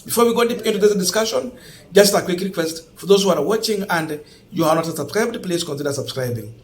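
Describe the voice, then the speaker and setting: A Kenyan man hosting online political commentary, speaking emphatically and deliberately and repeating points for stress. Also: high voice, animated. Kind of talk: political news commentary to camera.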